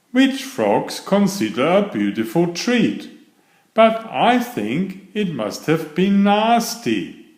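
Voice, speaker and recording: A man reading a children's story aloud in an expressive, deliberate, dramatic manner, stretching key words. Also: mid-range voice. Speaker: children's story narrator and author. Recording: roomy, echoey sound.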